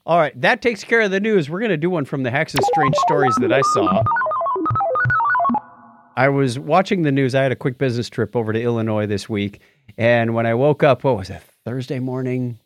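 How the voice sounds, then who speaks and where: A man's voice: mid-range voice, huge pitch swings, fairly quick, a little hiss or hum. A man co-hosting a podcast, chatting casually and jokily about news, often chuckling as he goes. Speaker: podcast co-host. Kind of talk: casual tech news chat.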